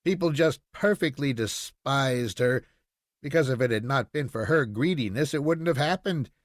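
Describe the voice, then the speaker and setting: A man reading a children's story aloud in an expressive, measured storytelling manner. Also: medium pitch, no echo, clean studio sound. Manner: measured storytelling with acted dialogue. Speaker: audiobook reader.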